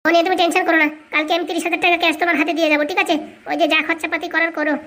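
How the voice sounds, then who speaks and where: A woman's voice: very high-pitched, little pitch movement, racing, bright, forceful tone. A woman playing a bossy, insistent male fixer who reassures everyone in a comic cartoon. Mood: bossy, reassuring. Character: bossy, brisk male fixer arranging a village wedding.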